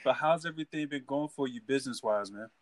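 A man's voice: mid-range voice, quick pace, no echo. A man hosting a casual interview, laid-back and dropping "man" into his questions. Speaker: interview host.

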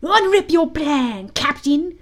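A woman's voice: high voice, sing-song, measured pace, voice pushed up. A woman voicing a simple, chatty, eager male pirate sidekick in a storybook, guileless and singsong.

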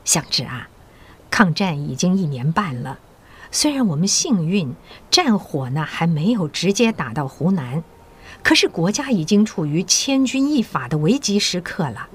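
An actress playing a mature, patriotic school principal in an audio drama, encouraging and persuasive with measured authority. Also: female voice, fairly low pitch, big pitch swings, breathy edge. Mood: grave, persuasive.

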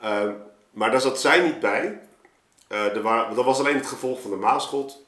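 A man telling anecdotes in an informal, animated way, as in a casual podcast chat. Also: medium pitch, moderate pace. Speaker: podcast guest, artist telling of a project. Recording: roomy, echoing room sound.

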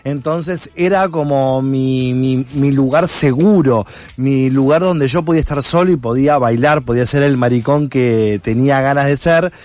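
An Argentine man giving conversational interview answers, reflective and halting as he rephrases his thoughts. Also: mid-range voice, a little mellow. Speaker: interviewed actor and content creator.

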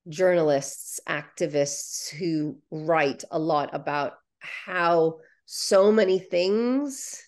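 A woman's voice: lowish voice, gravelly. A young adult woman whose first language is Ukrainian, speaking earnestly as an interview guest and often restarting her sentences. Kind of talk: conversational interview.

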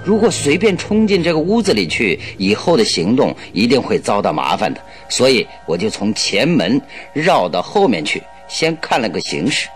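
A male actor plays a keen male detective in a radio drama, telling what he observed. His delivery is deliberate and expressive, with a lively, swinging pitch at a middling register. Faint music plays along. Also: close to an everyday voice. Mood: observant, composed. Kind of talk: Chinese radio drama acting, storytelling.

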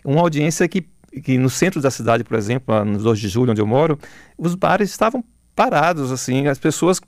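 A middle-aged man with a Bahian Brazilian accent, talking reflectively as an interview guest, haltingly, repeating words as he searches for phrasing. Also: mid-range voice, creaky, a little mellow, no room sound, clean studio sound.

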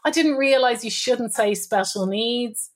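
A woman's voice: on the high side, a little slow. A middle-aged Irish woman talking as a podcast guest, chatty and candid, often laughing in colloquial speech. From Ireland. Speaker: guest, business owner and mother. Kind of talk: podcast interview, candid storytelling.

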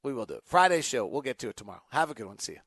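A man's voice: mid-range voice, sing-song, racing, gravelly, fairly bright tone, breathy edge, no echo, clean studio sound. A man hosting an unscripted sports talk show, thinking aloud in choppy, emphatic phrases. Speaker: sports podcast host. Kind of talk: unscripted basketball talk, answering fan questions.

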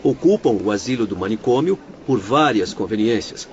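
An actor with a Brazilian accent playing a courteous, composed doctor who explains things formally in a radio drama. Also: male voice, fairly low pitch, animated, normal speed, darkish tone, lightly acted. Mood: calm, explanatory. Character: courteous, composed male doctor guiding patients in the afterlife. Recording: dull lo-fi radio recording, faint noise.

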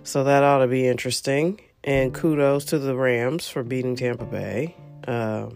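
A woman's voice: a bass voice, steady tone, normal speed, a little mellow, soft background music. Congested woman chatting about football. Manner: chatty, rambling, emphatic. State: congested, coughing. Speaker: podcast host. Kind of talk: casual solo podcast chat about football.

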